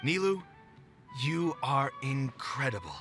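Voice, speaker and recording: A man playing a principled, dutiful theater manager in a video game, speaking in a measured, formal way. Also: medium pitch, very animated, over music. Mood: proud, encouraging.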